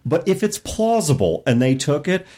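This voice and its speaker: A man's voice: a little high, normal speed. A man hosting a casual panel chat about tabletop games, opinionated and given to mild exclamations. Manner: animated, opinionated, mildly exclamatory. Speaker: podcast host steering the discussion.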